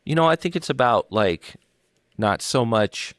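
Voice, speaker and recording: A man's voice: mid-range voice, lively intonation, unhurried, fairly bright tone, no echo. A young adult man co-hosting a podcast, rambling in casual chat with plenty of fillers and offhand swearing. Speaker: podcast co-host.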